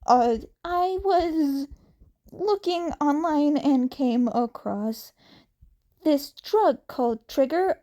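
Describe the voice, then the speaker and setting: A woman voicing a nervous teenage boy vigilante in a story, halting and stammering as he talks. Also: high-pitched, dark tone.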